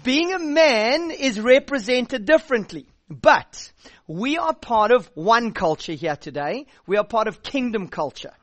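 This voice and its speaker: A man's voice: extremely high pitch, very animated, unhurried, fairly bright tone, dry recording. South African man preaching, dry sound. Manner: direct, blunt, with a dry joking edge. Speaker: youth pastor. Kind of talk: sermon.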